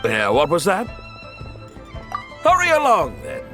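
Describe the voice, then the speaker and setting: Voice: medium pitch, huge pitch swings, unhurried. An actor playing a talkative, jovial townsperson who fancies being older and wiser, with theatrical, teasing delivery in an anime video game. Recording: game music behind voice.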